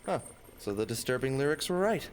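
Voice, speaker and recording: A man's voice: mid-range voice, brisk, noisy background, close to an everyday voice. Man playing a wry hero with swooping intonation. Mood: incredulous. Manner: deadpan, sardonic. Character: wry, sarcastic captured adventurer hero, male fox. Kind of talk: comedic audio drama acting.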